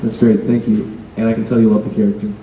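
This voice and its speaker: A man's voice: lowish voice, flat delivery, fast, dark tone, dull audio, a little hiss or hum. A man fan politely asking a panelist a question at a convention. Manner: polite, earnest. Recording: echoey hall from far off.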